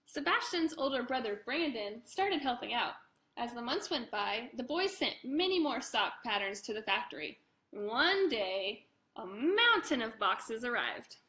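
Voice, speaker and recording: A woman reading her own picture book aloud to children in an expressive way, with casual chatty asides. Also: fairly high pitch, a little slow. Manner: expressive, addresses young listeners directly; casual, informal commentary between passages.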